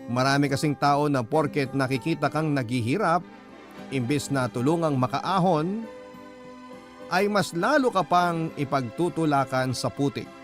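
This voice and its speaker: Male radio drama narrator, higher-than-average voice, deliberate and earnest, reading a letter over clear music. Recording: music bed under the voice. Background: prominent music.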